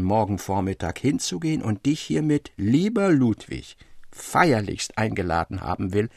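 A man's voice: mid-range voice, gravelly, slightly rough, dry recording, clean studio sound. A man reading the part of an earnest, intense young scholar in a literary story, with solemn, formal phrasing. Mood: fascinated, solemn.

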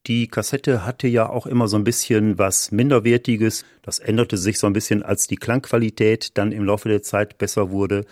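A man's voice: fairly low pitch, fairly even intonation, normal speed, hardly any pauses, darkish tone, dry recording, studio quality. A man being interviewed, explaining things in a reflective, informative way.

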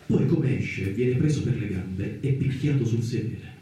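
An actor playing a musing, philosophical narrator in a theatrical radio monologue, solemn and deliberate with rhetorical pauses. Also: male voice, lowish voice, lively intonation, warm tone. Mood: grave, confiding. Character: narrator-thinker musing on the universe, then addressing Freud. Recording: distant, very echoey radio theatre with music.

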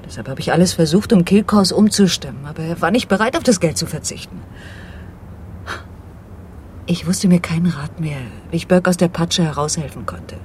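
A woman's voice: lowish voice, normal speed, darkish tone, natural voice. An actress playing a cornered swindler pleading her case in a radio crime drama, earnest and confessional. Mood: regretful, earnest. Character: seductive female swindler, cornered, pleading, self-justifying. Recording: 1980s radio play, faint background noise.

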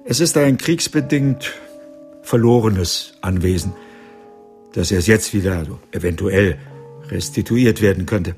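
An upright senior lawyer in his seventies, an older man with a low voice. He speaks with authority in a radio crime play, over faint music. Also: a little slow, darkish tone. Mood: sober, insistent. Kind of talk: radio drama acting. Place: studio.

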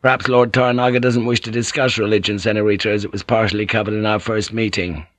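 Wary ship pilot, read by a male narrator, careful and deferential, lowish voice, dry sound. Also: flat delivery, fast talker, fairly bright tone, no room sound, natural voice.

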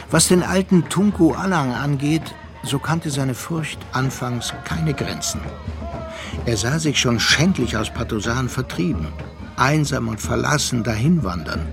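An actor playing a reflective narrator who recounts an adventure in a literary, expressive radio drama style. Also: male voice, medium pitch, vocal fry. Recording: music behind the voice.

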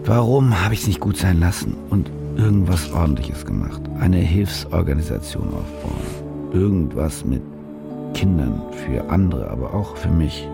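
An actor playing a cynical, desperate German war reporter in a radio drama, muttering and brooding as if to himself. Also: male voice, low-pitched, very animated, measured pace, vocal fry, loud music behind the voice, full character voice. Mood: weary self-reproach. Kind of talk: radio drama acting, interior monologue.

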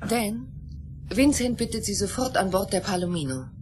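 A woman's voice: medium pitch, lively intonation, moderate pace, darkish tone, faint music behind, natural voice. A woman playing a serious, worried scientist of a space crew in a radio play, speaking earnestly and with urgency. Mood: urgent.

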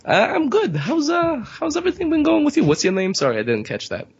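A man's voice: very high voice, huge pitch swings, brisk, gravelly, dull audio, voice pushed up, full character voice. A young man putting on a pushy older New Yorker. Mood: pushy, indignant. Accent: New York.

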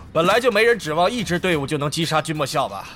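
An actor playing a scheming, hot-tempered guild leader in a Chinese audio drama about an online game, argumentative and calculating. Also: male voice, fairly high pitch, lively intonation, brisk, fairly bright tone, slight background noise. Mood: testy, defensive.

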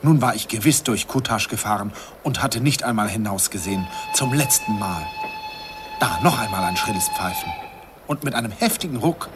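Wistful, animated low-voiced narrator. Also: male voice, moderate pace. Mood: excited, urgent. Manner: lyrical, animated storytelling. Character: wistful grown man recalling his youthful summer romance. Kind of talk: dramatic first-person radio play narration. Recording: slightly noisy old radio recording.

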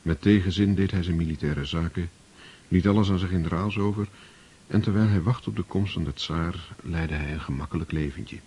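Deep-voiced grave male narrator. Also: darkish tone, breathy edge. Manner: measured, grave storytelling. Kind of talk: scripted narration in a radio play.

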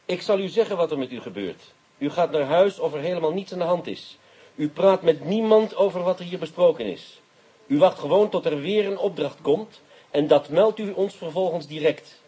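An actor playing a stern, sardonic police inspector in a Dutch radio drama, with a dry, probing, formal way of talking. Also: male voice, on the high side, normal speed, mellow, slightly rough. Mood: firm.

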